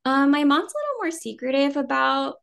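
A woman's voice: high voice, a little fast, gravelly. A woman in her thirties from New Jersey, raised speaking Vietnamese first, now with an American accent, chatting casually and loosely in an interview. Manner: relaxed, rambling, many "um" and "like", laughs easily. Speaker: guest actor.

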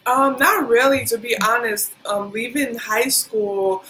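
A woman's voice: fairly high pitch, measured pace, sharp tone, a little hiss or hum, low-quality recording. A woman who grew up in Liberia, a hair stylist as guest on a podcast, talking casually with many ums and likes. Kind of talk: casual interview.

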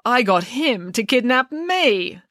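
Woman playing a mischievous, indignant nanny, high and swooping, dry close sound, storybook. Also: big pitch swings, slow, fairly bright tone, no echo, heavily acted. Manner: indignant, proper, grandly self-assured.

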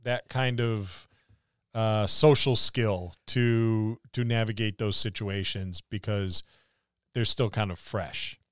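A man's voice: fairly low pitch, fairly even intonation, slow pace, clean tone, no echo, studio quality. A man co-hosting a gaming podcast, chatting informally and jokingly and slipping into imagined dialogue as he goes. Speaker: podcast co-host. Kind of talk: casual chat about tabletop gaming.

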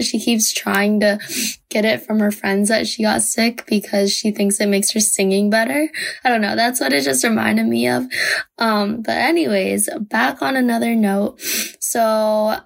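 A young woman hosting a casual solo podcast, her voice raspy and hoarse from a cold, chatting loosely with lots of fillers. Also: a little high. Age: young adult. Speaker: podcast host.

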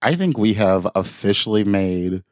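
A man with a lowish voice co-hosts a comedy podcast, spinning silly scenarios and swearing freely. The sound is dry and close, with no room around it. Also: lively intonation, normal speed, darkish tone, no room sound. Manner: jokey, riffs on absurd scenarios, swears freely. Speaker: podcast co-host. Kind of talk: casual comedic chat.

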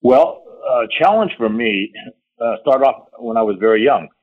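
A man whose first language is Chinese, a soft-spoken interview guest who talks haltingly and repeats words. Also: mid-range voice, animated. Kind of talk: casual interview answers. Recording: tinny phone-line audio.

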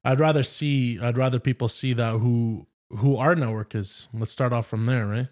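A man from Canada hosting a podcast, upbeat and hyping up his guest with quick questions. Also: medium pitch, steady tone, darkish tone. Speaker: podcast host. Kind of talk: casual interview chat.

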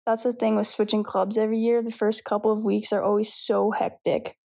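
A woman's voice: medium pitch, level tone, fairly quick, gravelly. A Canadian woman in her twenties, a professional volleyball player, giving an upbeat, self-deprecating update. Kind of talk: scripted update to camera.

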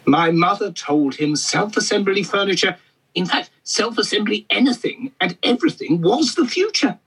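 A man's voice: sits high, animated, normal speed, fairly bright tone, husky, heavily acted, rough recording. A man doing a pompous eccentric professor. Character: eccentric half-Swedish male furniture professor. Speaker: guest expert. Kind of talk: comedy podcast interview.

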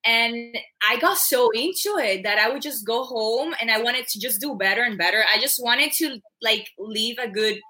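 A woman's voice: on the high side, moderate pace, hardly any pauses, bright, forceful tone. A woman from Cuba, a journalism student, chatting casually and laughing as she tells stories. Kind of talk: casual chat.

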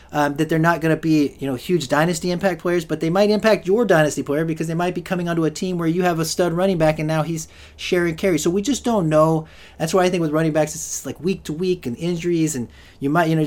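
Fast-talking man with a strained voice. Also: a little high, a little mellow. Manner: rambling, with many restarts and fillers. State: losing his voice. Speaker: podcast host. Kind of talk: solo fantasy football podcast talk.

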